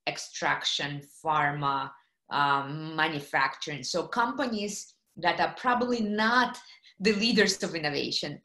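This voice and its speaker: A woman's voice: low-pitched, roomy sound. Woman from Kazakhstan presenting on a video call. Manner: lively, fillers, asks the audience questions. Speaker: guest speaker. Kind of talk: webinar presentation. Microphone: computer mic on video call.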